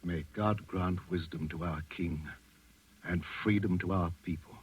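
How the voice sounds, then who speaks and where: An actor playing a dignified, defiant physician with a measured, firm, formal way of speaking in 1940s radio drama style. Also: male voice, low voice, fairly even intonation, slight background noise, poor audio. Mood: solemn.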